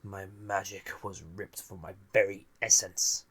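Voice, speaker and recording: A man playing an ancient banished villain, a magical being, in an intimate audio roleplay, musing theatrically with a gravelly, husky, breathy voice. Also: low-pitched, fairly even intonation, unhurried, a bit bright, heavily acted. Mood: grave, menacing. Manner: musing, theatrical, drifting into breathy near-whispers. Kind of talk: scripted audio roleplay, intimate monologue to listener.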